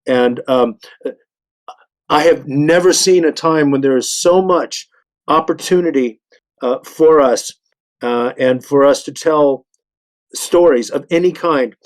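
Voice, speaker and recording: Higher-voiced, stammering mature man. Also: slow, long pauses. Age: middle-aged or older. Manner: stammering, hesitant starts. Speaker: panelist, veteran artist.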